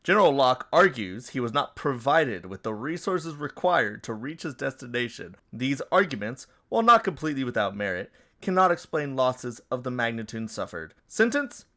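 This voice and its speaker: A man's voice: medium pitch, big pitch swings, moderate pace, few pauses, a bit bright. A man giving a dramatic reading of a formal military verdict.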